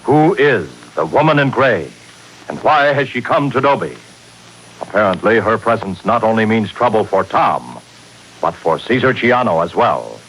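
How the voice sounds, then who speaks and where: A man narrating a 1940s radio serial as announcer, in a theatrical, measured, scripted style. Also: fairly low pitch, animated, fairly bright tone, rough recording. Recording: hissy 1940s radio broadcast.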